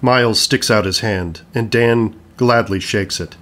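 A man's voice: fairly low pitch, unhurried, a bit bright. A man narrating an audio play in a scripted style, reading stage directions and credits. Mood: neutral. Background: music.

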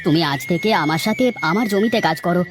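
A woman's voice: fairly low pitch, fast, over music, natural voice. A woman voicing a kindly, principled male banana farmer in a village cartoon, speaking earnestly. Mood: consoling. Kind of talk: cartoon dialogue acting.

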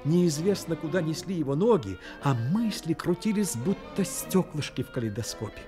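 A man's voice: on the high side, expressive, moderate pace. A man reading scripted narration as the storyteller of a radio drama. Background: music.

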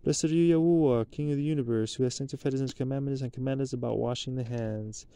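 Man leading prayers outdoors at night, mellow tone, rises into loud chanted blessings, handheld camera close by. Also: mid-range voice, fairly even intonation, fast, a little hiss or hum. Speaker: leader of a Sabbath blessing. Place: outdoors at night at a picnic table.

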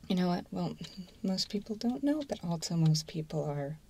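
A woman playing a mild, businesslike intake assessor in an ASMR role-play, in a soft breathy whisper with a methodical, slightly hesitant manner. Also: on the low side, sing-song, moderate pace, full character voice. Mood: calm, methodical. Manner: methodical, hesitant, matter-of-fact. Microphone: close microphone.

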